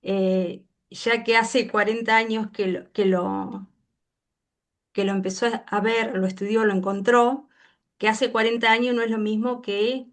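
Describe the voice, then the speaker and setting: A woman's voice: a little high, little pitch movement, unhurried. A woman hosting an interview, asking questions with hesitant restarts. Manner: hesitant, restarts, warm backchannels. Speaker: interviewer, event host.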